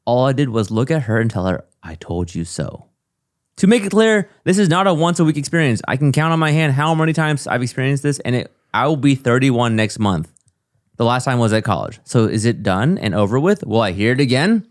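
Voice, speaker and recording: A man's voice: mid-range voice, animated, a little fast, no echo. A man co-hosting a comedy podcast, reading a listener's email aloud in a dry, matter-of-fact way with joking asides. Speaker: co-host.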